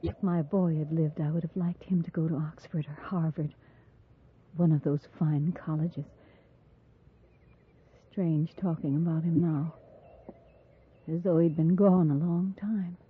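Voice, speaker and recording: An actress playing a stoic, grieving frontier mother in her thirties in a 1950s radio drama, solemn and halting, with a breathy edge. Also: low-pitched, fairly even intonation, heavily acted. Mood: subdued, wistful. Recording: muffled vintage 1950s radio recording.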